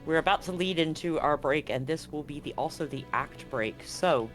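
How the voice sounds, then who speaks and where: A man's voice: on the high side, moderate pace, a bit bright, soft background music. A man speaking informally and conversationally as game master, narrating a live-streamed tabletop game.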